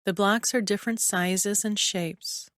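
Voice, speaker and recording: A woman narrating a scripted guided relaxation in a soothing, measured way, with short phrases and long pauses. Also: mid-range voice, steady tone, a bit bright, dry recording, studio quality. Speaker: guided imagery meditation narrator.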